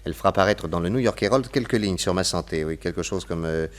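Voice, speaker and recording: Shrewd radio-play detective, played by a man: lowish voice, assured and explanatory, theatrical phrasing. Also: quick pace, natural voice. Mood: businesslike. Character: shrewd, self-assured male detective planning his disguise. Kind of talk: French radio drama acting.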